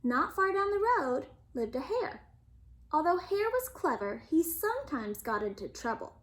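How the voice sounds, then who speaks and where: A woman storyteller reading a bedtime story aloud to children with expressive narration. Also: sits high, normal speed.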